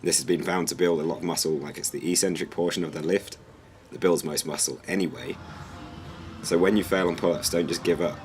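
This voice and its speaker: A young man with a hoarse, fading voice, casually narrating his gym workout as a fitness vlogger, explaining with a relaxed, slightly rambling manner. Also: deep voice, a little fast.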